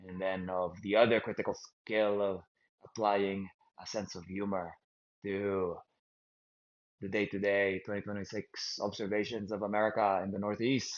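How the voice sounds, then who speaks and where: A Filipino-accented man talks on in a low-pitched, meandering monologue, stumbling over and repeating his words as he goes. The sound is dull and lo-fi. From Philippines. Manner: halting, repeats words, self-deprecating. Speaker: host. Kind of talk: rambling stream-of-consciousness monologue. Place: outdoors in a park.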